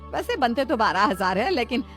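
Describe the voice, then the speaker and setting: A crafty older mother-in-law from a comic cartoon story , acted by a woman, speaks high and swings widely in pitch. She teases with mock innocence and drawn-out coaxing over background music. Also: sing-song. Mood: mock-innocent, coaxing. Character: crafty, teasing older mother-in-law, self-proclaimed fox-sly schemer. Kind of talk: comic Hindi moral-story cartoon acting.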